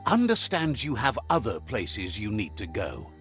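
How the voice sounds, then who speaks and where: An actor playing a wry, fond storybook narrator in a game, with a measured storyteller's delivery. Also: male voice, on the low side, huge pitch swings, a bit bright, soft background music, exaggerated voice. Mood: wry, friendly. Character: male storybook narrator relaying creatures' words, wry and fond.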